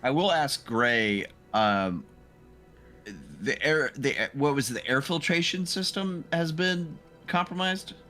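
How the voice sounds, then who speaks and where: A man's voice: fairly high pitch, unhurried, pushed and bright, faint music behind. A man playing in a tabletop roleplaying game, speaking casually in and out of character.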